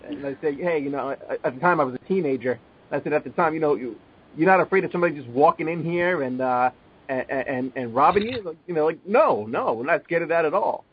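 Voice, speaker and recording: Husky New man telling a story. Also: fairly high pitch, expressive, fast talker, dull audio. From Long Island, New York. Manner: hesitant, lots of ums and restarts. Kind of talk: casual storytelling chat.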